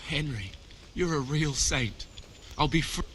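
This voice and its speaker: A man's voice: fairly high pitch, expressive, a little slow, background noise. An actor playing a robbed, grieving village man in a video game, simple and grateful, speaking earnestly. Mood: amazed, grateful. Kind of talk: video game dialogue acting.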